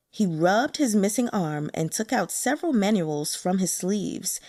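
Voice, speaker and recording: A woman narrates a novel in an expressive storytelling style. Her voice sits at an average pitch for a woman and moves widely in intonation. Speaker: audiobook reader.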